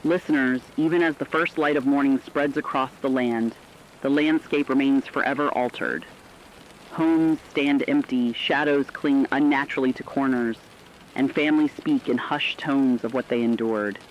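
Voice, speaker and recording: A man's voice: medium pitch, steady tone, normal speed, highs cut off, slight background noise, natural voice. A man playing a radio anchor who solemnly addresses listeners in formal, portentous scripted horror narration. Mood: solemn, warning. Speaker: radio host.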